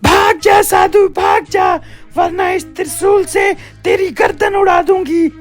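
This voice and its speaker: A woman's voice: very high voice, steady tone, pitched above the performer's own voice. A woman voicing a vengeful, proud ghost witch in a cartoon horror story, with commanding, threatening delivery. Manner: commanding, threatening shouts, drawn-out words. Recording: background music under the voice.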